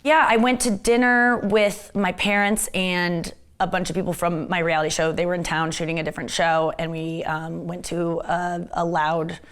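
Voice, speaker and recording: A woman comedian with a husky, gravelly voice and strained vocal cords, chatting fast and candidly in an interview. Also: on the low side, level tone, a bit bright. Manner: rapid, candid, self-deprecating talk with many fillers. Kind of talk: casual fast-talking interview chat.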